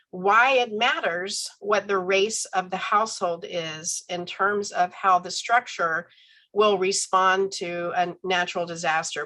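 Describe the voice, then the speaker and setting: A woman's voice: on the low side, pushed and bright. A woman professor giving an online lecture while stuffy and congested from a head cold, speaking conversationally with ums and self-corrections. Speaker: university professor giving a talk.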